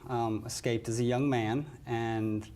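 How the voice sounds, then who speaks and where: A man's voice: medium pitch, steady tone, unhurried, darkish tone. A courteous American man from West Virginia answering interview questions as a nurse manager. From West Virginia, United States. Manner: courteous, says yes ma'am.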